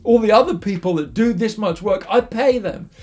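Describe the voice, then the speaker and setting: A man's voice: high voice, normal speed, a little mellow, voice pushed up. A man voicing a middle-aged farmer uncle, hearty and wily, with a matey, informal manner in a told story. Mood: friendly, businesslike.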